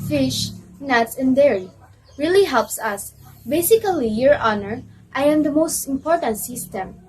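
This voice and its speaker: A woman's voice: high voice, darkish tone, a little hiss or hum, poor audio. A woman playing a proud muscular system that testifies and explains its importance in an amateur educational reader's theater play.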